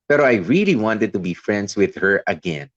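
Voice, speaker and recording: A man's voice: on the low side, very animated, normal speed, no echo. A man narrating a first-person letter on radio in an earnest, confiding way. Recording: dry dull radio studio sound.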